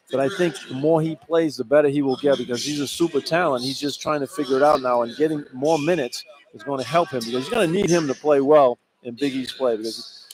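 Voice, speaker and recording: Fast-talking man, sports radio analyst. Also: a little high, rough recording. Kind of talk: conversational live sports analysis. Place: courtside in a sports arena.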